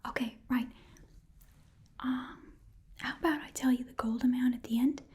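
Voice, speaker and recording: A woman voicing a shady, cheerful goblin pawn shop keeper in a breathy ASMR whisper, with casual, rambling sales patter. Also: sits high, steady tone, normal speed, a little mellow, natural voice. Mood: focused. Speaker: ASMR role-play performer. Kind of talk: whispered ASMR shopkeeper role-play.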